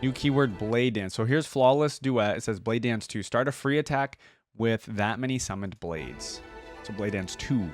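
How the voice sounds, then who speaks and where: A man's voice: mid-range voice, moderate pace, music in the background. A man giving casual reaction commentary as a host, thinking aloud in an informal, exclamatory way.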